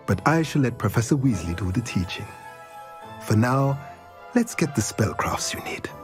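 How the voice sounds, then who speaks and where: An actor playing a formal, courteous male shop proprietor, sometimes dropping to a hush. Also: mid-range voice. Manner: formal, measured, at times hushed. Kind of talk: video game cutscene.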